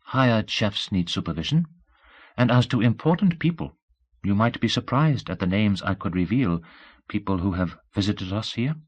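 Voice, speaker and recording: A man's voice: fairly low pitch, animated, moderate pace. A candid young woman, as a male narrator puts her on. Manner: poised and blunt, asks pointed questions. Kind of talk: audiobook character voice.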